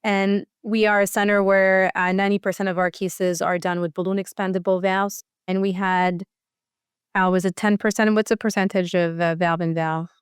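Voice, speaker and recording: A woman's voice: mid-range voice, level tone, moderate pace, clean tone, no echo, clean studio sound. A woman speaking as a physician researcher and interview guest, explaining clinical details in a matter-of-fact, conversational way.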